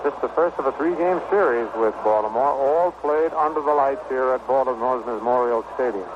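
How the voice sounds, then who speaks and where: A man's voice: mid-range voice, fairly quick. An American man calling baseball play-by-play in the style of 1960s radio commentary. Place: ballpark broadcast at a stadium. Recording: dull, noisy vintage radio broadcast.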